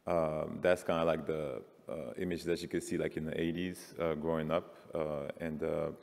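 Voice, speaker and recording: A man's voice: extremely low pitch, little pitch movement, moderate pace, creaky, darkish tone. A man in his forties from France, giving a loose, filler-heavy conference talk about his work. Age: 40s. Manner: casual, many fillers like "like". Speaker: panelist artist. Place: large conference hall. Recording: reverberant hall.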